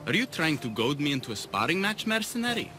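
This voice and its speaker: A man playing a troubled, vulnerable young Athenian war veteran in a video game, speaking haltingly. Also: on the high side, big pitch swings, music in the background. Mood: guarded. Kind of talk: video game cutscene acting.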